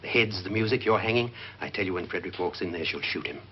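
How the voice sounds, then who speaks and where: Deep voiced scheming killer guy, calm n sure of himself, old 50s horror movie, muffled w/ some hiss. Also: fast. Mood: smug, conspiratorial. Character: scheming, self-assured male murder plotter. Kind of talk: 1950s horror film acting. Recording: dull, noisy old film soundtrack.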